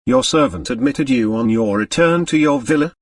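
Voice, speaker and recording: A man's voice: mid-range voice, expressive, moderate pace, no echo, studio quality, far from the mic, natural voice. A man voicing a polite, formal French examining magistrate who questions carefully, in an audiobook. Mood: probing, courteous. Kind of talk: audiobook character dialogue.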